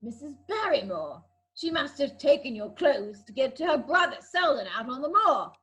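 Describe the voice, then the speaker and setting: A woman playing an eager, naive male sidekick in a comic amateur radio play, earnest and excitable. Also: high-pitched, normal speed. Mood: excited.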